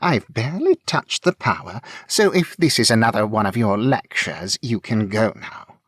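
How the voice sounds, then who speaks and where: A man's voice: fairly low pitch, expressive, moderate pace, a bit bright, husky, dry recording, studio quality. A man voicing a haughty, impatient female mage with an imperious, curt manner in a fantasy audiobook. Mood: irritated, curt. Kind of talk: fantasy audiobook character acting.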